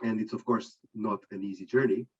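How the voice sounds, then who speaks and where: A middle-aged man from Portugal, a panel guest speaking in a measured, reflective way and setting out his points one by one. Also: lowish voice, steady tone, husky, muffled recording. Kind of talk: conference panel answer.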